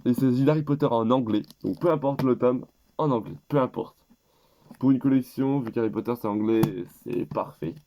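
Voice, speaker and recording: A man's voice: medium pitch, moderate pace, dark tone, a little hiss or hum. A teenage boy with a head cold, rambling and hesitant as he presents gift picks in a casual vlog. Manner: rambling and hesitant, with many restarts. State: stuffed up with a cold. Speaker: YouTuber.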